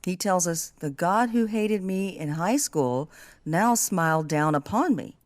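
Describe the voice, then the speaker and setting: A woman's voice: fairly low pitch, animated, a little slow. A woman hosting a podcast with scripted, reflective commentary in an earnest, personal, devotional manner. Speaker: podcast host.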